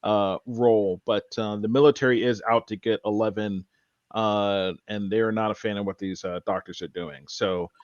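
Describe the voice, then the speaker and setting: A man's voice: fairly low pitch, fairly even intonation, moderate pace, no room sound. Man talking casually, dry muffled sound. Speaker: podcast panelist. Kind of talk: casual TV recap discussion.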